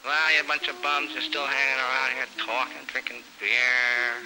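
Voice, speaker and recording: A man's voice: medium pitch, little pitch movement, normal speed, background noise, natural voice. A man voicing a tired working man of the house who scolds his lounging friends in a drawl. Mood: scolding.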